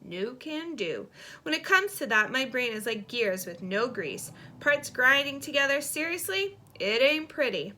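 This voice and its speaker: A woman's voice: high voice, sing-song, unhurried, pushed and bright, pitched above the performer's own voice, exaggerated voice. A woman voicing an easygoing, joking older brother in an audiobook, reluctant but kind, with casual, emphatic phrasing. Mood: joking refusal.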